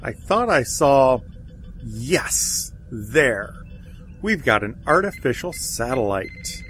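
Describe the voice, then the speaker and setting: A starship helmsman in a sci-fi audio drama, played by a man. He reports in formal military fashion, his male voice swinging widely in pitch. Music is prominent behind him. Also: very animated, takes it slowly, a bit bright. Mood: alert, focused. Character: dutiful, alert male starship helm officer. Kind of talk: science fiction audio drama acting.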